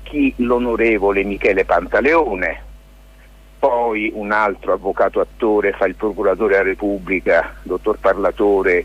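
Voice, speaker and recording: Older-ish guy on radio interview, really deep and gravelly husky, stops and starts while explaining. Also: lo-fi sound. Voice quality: husky, rough. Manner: halting, discursive explaining with many hesitations. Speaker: lawyer and theatre maker, interview guest.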